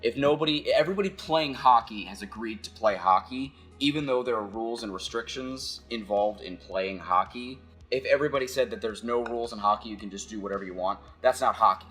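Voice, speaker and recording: A man giving a casual philosophical monologue as a video essayist, halting and conversational as he thinks aloud. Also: medium pitch, a bit bright, faint music behind.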